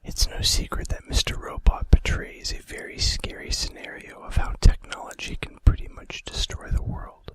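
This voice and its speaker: A man in a soft, breathy, unvoiced whisper, reading out facts in an unhurried, casual ASMR style, close to the microphone. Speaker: ASMR video host.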